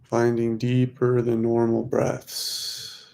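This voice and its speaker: A man leading a guided meditation in a soothing, unhurried manner, speaking in short phrases with long pauses. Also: medium pitch, monotone, vocal fry, warm tone. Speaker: meditation guide, host of a weekly group.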